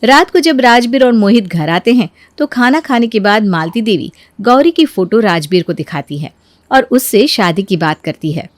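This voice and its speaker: A woman's voice: mid-range voice, lively intonation, moderate pace, no echo. A woman narrating a scripted family moral story as a storyteller.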